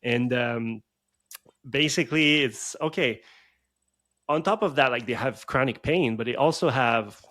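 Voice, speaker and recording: Male chiropractor guest, 30s, mid-pitched, loose rambling podcast talk full of "like" and restarts. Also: unhurried, fairly bright tone. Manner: rambling, many fillers and restarts. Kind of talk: conversational podcast interview.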